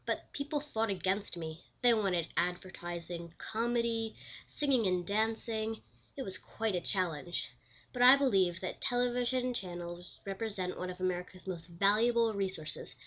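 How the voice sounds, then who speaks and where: A woman playing an elderly, proud pioneering lawyer and commissioner in a scripted interview, speaking formally and deliberately. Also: medium pitch, fairly bright tone, lo-fi sound, natural voice. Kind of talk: scripted mock interview, reenacted historical figure.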